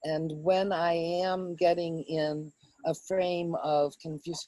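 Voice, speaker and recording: A woman with a mellow, slightly low voice speaks in a reflective, halting way. Her pace is very slow and unhurried, and the sound is close and dry. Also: little pitch movement, dry recording. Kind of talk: poem reading and casual personal recollection.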